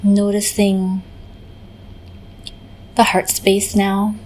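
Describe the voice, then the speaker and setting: A woman leading a guided meditation in a gentle, unhurried manner, often pausing in the middle of phrases. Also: mid-range voice, completely flat delivery. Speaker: meditation teacher.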